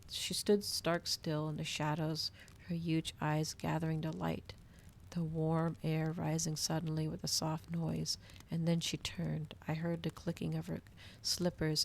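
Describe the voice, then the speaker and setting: A woman reading a novel aloud in a hushed ASMR style, slipping into whispers, close to the microphone. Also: low voice, little pitch movement, a little slow, vocal fry, a little hiss or hum.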